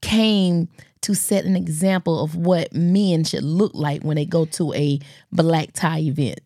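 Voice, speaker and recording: A woman hosting a podcast, chatting in an animated, teasing way. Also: sits low, unhurried, dry recording, studio quality. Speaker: podcast host. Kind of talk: casual chat.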